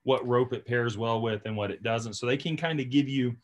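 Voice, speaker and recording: A man's voice: mid-range voice, fairly quick, a crowd in the background. A man hosting a podcast in a casual, chatty style, talking emphatically and often checking in with "right?" and "you know". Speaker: podcast host.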